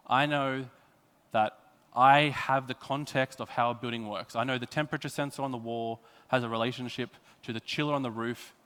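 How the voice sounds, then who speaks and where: A man's voice: medium pitch, a bit bright. An Australian man giving a prepared technical conference presentation, explaining things with emphatic phrasing. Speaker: conference speaker. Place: conference hall. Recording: echoey hall with long reverb.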